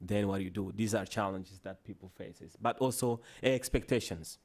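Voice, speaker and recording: A man whose first language is Swahili gives a conference presentation with slides, in a halting way full of restarts and "you know" fillers. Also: lowish voice, darkish tone. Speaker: conference panelist. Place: conference hall.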